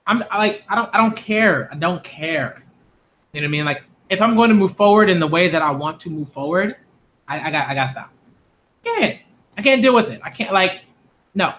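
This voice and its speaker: Emphatic high-voiced man, exasperated. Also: expressive, moderate pace, long pauses, pushed and bright. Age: 20s. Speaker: channel host. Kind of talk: direct-to-camera rant.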